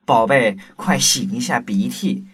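A woman's voice: extremely low pitch, unhurried, husky, full character voice. A woman playing a caring royal parent in a children's audio story, speaking in an instructive, attentive way. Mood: caring, concerned.

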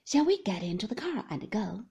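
A woman's voice: mid-range voice, sing-song, moderate pace, creaky, dark tone, breathy edge, heavily acted. A woman voicing a stern older male magistrate who speaks curtly and commandingly. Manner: curt, commanding.

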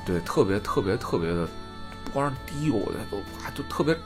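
Low-voiced man in casual podcast conversation. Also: lively intonation, fast, music in the background. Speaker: podcast co-host. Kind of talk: casual unscripted podcast chat.

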